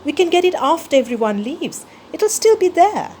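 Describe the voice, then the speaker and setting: A woman's voice: very high voice, sing-song, normal speed, dark tone, slight background noise, voice pushed up. A woman voicing a young, anxious mouse child who speaks in an urgent, pleading way.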